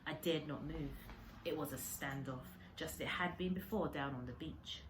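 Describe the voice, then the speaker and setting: A woman with a low voice reads a children's story aloud in the expressive way of a primary teacher. She leans dramatically on the descriptive words. There is clear background noise on the amateur recording. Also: normal speed.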